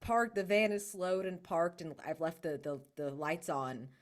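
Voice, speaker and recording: Lowish-voiced woman, halting game player. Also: animated. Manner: halting, searching for words. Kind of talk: improvised, casual in-game description.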